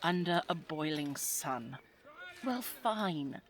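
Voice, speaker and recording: A woman's voice: deep voice, animated, takes it slowly, slight background noise, full character voice. A woman playing a spirited, teasing young English lady of the 1920s in an audio drama, chatty and well-spoken with an English accent. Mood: teasing excitement. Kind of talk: audio roleplay drama, addressed to the listener.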